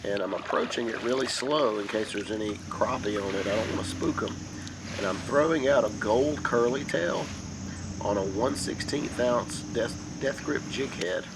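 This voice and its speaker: A man in his thirties with a hoarse, gravelly voice explains his fishing in a slow, steady way. He's out on a creek in a kayak, recorded by a camera mounted behind him. The audio is noisy, with water and insects clearly heard around him. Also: medium pitch. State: hoarse from a cold. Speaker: kayak angler. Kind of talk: casual fishing narration. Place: kayak on a wooded creek outdoors.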